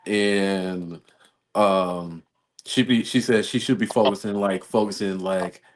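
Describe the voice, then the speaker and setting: American man, lowish voice, casual host, rambling conversational storytelling. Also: steady tone, slow. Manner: rambling, storytelling with quoted exchanges. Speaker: show host. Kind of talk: casual chat.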